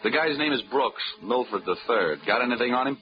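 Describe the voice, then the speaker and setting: A man's voice: a little fast, natural voice. An actor playing a breezy, flirtatious male insurance investigator in a 1940s radio drama who talks in easy wisecracks. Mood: brisk. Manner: wisecracking, easygoing drawl with lively pitch. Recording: dull vintage radio broadcast with music.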